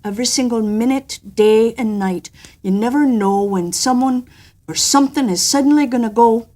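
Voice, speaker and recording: A gentle, weary old-soldier father, voiced by a woman in a storybook tale. He explains patiently and softly, building with quiet intensity. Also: on the high side, moderate pace, natural voice. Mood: earnest.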